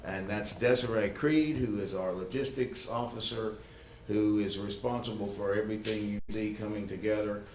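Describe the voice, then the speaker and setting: A man with a fairly low voice hosts a meeting in a roomy, echoing space. He talks informally and haltingly, doubling back on words. There is faint noise behind him. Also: steady tone, a little mellow. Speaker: event host. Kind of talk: informal spoken remarks to a conference room. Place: good-sized meeting room. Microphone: livestream microphone, not amplified in room.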